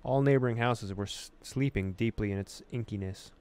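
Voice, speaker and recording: A man hosting a gaming video, reading game text aloud in an expressive storytelling way with casual, amused asides. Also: fairly low pitch, normal speed, clear, resonant tone.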